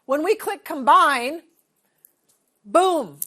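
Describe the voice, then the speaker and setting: A woman's voice: high voice, lively intonation, drawn-out words, fairly bright tone. A woman in her forties leading a hands-on classroom demonstration, asking the room questions and checking in with "okay?". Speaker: teacher trainer. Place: large training room.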